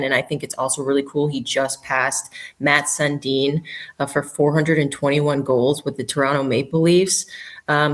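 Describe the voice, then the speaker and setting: An American woman, a young adult, chatting upbeat as an interview guest, laughing often. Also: deep voice. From United States. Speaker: hockey guest and former player. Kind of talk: casual interview chat.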